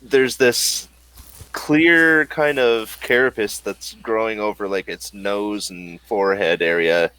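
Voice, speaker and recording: A man narrating as game master of a tabletop game, describing scenes in halting bursts with many fillers. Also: fairly low pitch, sharp tone, clean tone, poor audio.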